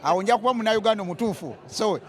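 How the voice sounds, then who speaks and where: A high-voiced man rattles on at a very fast clip, boastful and playful. He is being interviewed outdoors at an event. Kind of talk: joking casual interview.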